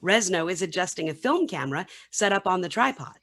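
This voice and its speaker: A woman's voice: fairly low pitch, animated, brisk, fairly bright tone. A woman reading scripted narration and stage directions for a radio play.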